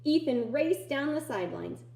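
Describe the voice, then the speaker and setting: A woman reading a children's picture book aloud in an expressive storytelling style. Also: high-pitched, moderate pace, vocal fry. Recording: echoey room.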